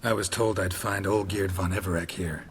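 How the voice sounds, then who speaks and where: A man's voice: sits low, steady tone, moderate pace, a little hiss or hum, natural voice. A man playing a stoic, wry monster hunter in a video game, terse and dry in delivery. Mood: dry, unhurried. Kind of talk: video game cutscene acting.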